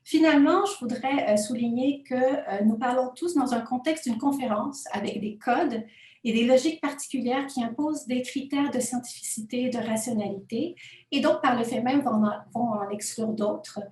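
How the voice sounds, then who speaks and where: Woman, non-native speaker, academic talk, hesitant, higher voice, distant mic in an echoey home office. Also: moderate pace, darkish tone. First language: not French. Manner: hesitant, frequent filler sounds. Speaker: conference presenter. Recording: roomy distant home recording.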